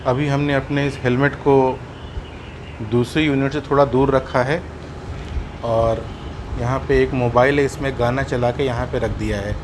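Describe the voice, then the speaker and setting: A man's voice: medium pitch, fairly even intonation, normal speed, noisy background. A man with an Indian accent explaining a hands-on product demonstration step by step, repeating his points. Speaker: host of a motorcycle gear tutorial.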